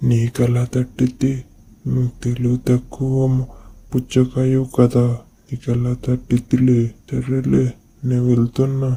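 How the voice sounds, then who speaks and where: A man voicing a know-it-all, condescending talking mango in an animated moral story. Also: medium pitch, unhurried, a little hiss or hum, close to an everyday voice. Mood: dismissive.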